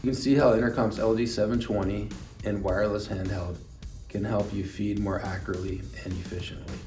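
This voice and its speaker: A man's voice: fairly low pitch, steady tone, fairly quick. A man giving an instructional product demonstration, explaining things plainly and step by step. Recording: roomy echo, distant mic, music underneath.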